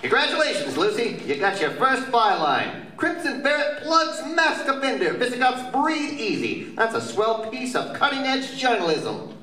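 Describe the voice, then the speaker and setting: Bright, high gee-whiz sidekick. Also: male voice, sing-song, normal speed, slight background noise, heavily acted. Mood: gushing. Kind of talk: live parody of old-time radio play. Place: convention stage before an audience. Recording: echoey distant live stage.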